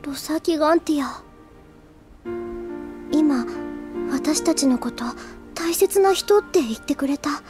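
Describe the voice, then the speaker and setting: A devoted, shy teenage schoolgirl in an anime audio drama. Her high voice is earnest and reflective, with a hesitant sincerity, over clearly audible music. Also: measured pace, long pauses. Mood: tender, yearning. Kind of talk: Japanese anime audio drama acting with inner monologue.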